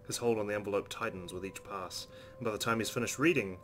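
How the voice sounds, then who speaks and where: A man's voice: fairly low pitch, quick pace, a little hiss or hum. A man hosting a let's play, reading visual novel narration aloud expressively and adding his own commentary. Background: soft game music.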